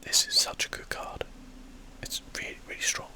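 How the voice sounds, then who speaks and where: Casting: game streamer for ASMR, fully whispered, bright and airy, casually thinking through each move. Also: normal speed. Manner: whispering throughout, breathy and unvoiced. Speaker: gaming video host. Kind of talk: whispered ASMR gameplay commentary.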